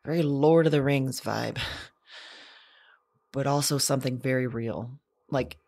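A woman playing a lonely, haunted character who keeps an audio journal in a drama, with a halting, intimate, confessional delivery. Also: a bass voice, vocal fry, no room sound, exaggerated voice. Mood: wondering. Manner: halting, hesitant, hushed reflective delivery.

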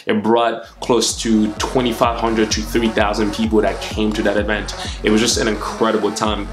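Deep-voiced man, earnest vlogger. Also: completely flat delivery, normal speed, faint music behind. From Democratic Republic of Congo. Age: 20s. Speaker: YouTube host. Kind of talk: motivational vlog to camera. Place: small furnished room at home.

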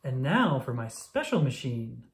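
A friendly flamingo eye doctor from a children's storybook, played by a woman, speaking gently and with encouragement. The pitch swings up and down in a reassuring, expressive way. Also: unhurried, exaggerated voice. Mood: kindly, encouraging.

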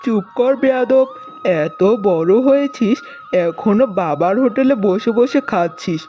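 A woman voicing a tyrannical, sharp-tongued older village mother-in-law in a cartoon. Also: a little high, lively intonation, a little slow. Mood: scolding. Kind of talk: cartoon story voice acting. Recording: music bed under the voice.

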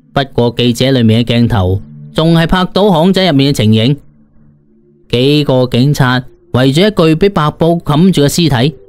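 A man with a Cantonese accent tells a story in the first person. His voice is fairly high, and he narrates in a colloquial, dramatised style over soft music.

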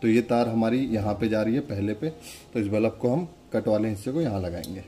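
A man with a fairly low voice talks through a hands-on demonstration as host. His delivery is casual and instructional, explaining step by step and repeating small pointers. Music plays along with his voice. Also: brisk, warm tone. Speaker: product demonstrator and channel host. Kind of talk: casual step-by-step demo narration.

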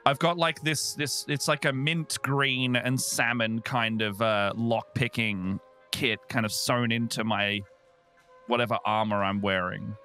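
Male tabletop player, bright forceful tone, playful casual banter, faint music underneath. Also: mid-range voice, steady tone, a little slow. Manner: playful, joking.

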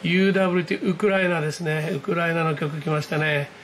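High-voiced man narrating live over background noise. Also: level tone, fast talker, fairly bright tone. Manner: exclamatory, reacting aloud as things happen. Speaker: amateur radio operator hosting his own video. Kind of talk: live hobby commentary, casual and excited. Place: indoors at a desk, filming a computer screen.

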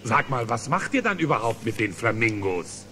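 Ein Schauspieler spielt einen lockeren, leicht genervten Bärenpiloten in einem Kinderhörspiel. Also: male voice, mid-range voice, big pitch swings, normal speed, pushed and bright, faint music behind. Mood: bemused. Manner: exasperated, casual, hesitant. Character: easygoing grumbling male cartoon bear pilot. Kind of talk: children's audio drama acting. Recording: noisy old cassette audio drama.

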